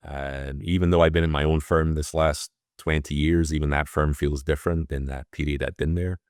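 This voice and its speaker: A man's voice: rumbling low, fairly even intonation, normal speed, dry recording, clean studio sound. A Scottish man in his fifties, an audit partner speaking as an interview guest, thoughtful and loose, with many fillers and restarts. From Scotland. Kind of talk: casual interview talk.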